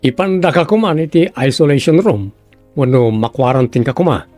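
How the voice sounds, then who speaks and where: A man's voice: mid-range voice, expressive, normal speed, a little mellow, dry recording, soft background music, close to an everyday voice. A man with an Ilocano accent playing a relative of a sick young man in a radio drama. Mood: teasing. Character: male family member of a sick young man, by turns teasing and patient.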